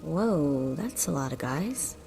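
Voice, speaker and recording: Casting: flippant female companion for a game, lowish voice, casual wisecracks, music behind. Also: expressive, takes it slowly, gravelly, warm tone, full character voice. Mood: wry. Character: wry, flippant female sidekick of a bounty hunter. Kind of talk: video game voice acting.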